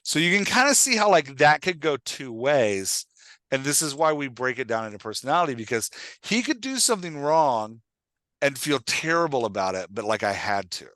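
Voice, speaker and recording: A man hosting a casual coaching conversation, explaining in an animated way and often checking that he is understood. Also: mid-range voice, moderate pace, dry recording. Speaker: podcast host and writing coach.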